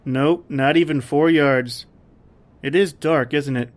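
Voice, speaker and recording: A man's voice: on the high side, takes it slowly. A man acting a confident, dismissive big-game hunter who narrates his ordeal in an old-time radio drama. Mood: relaxed.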